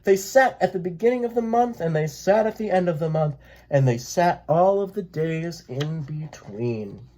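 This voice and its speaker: A person reading a children's picture book aloud in a gentle, expressive way. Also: medium pitch, normal speed, slight background noise. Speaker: read-aloud storyteller.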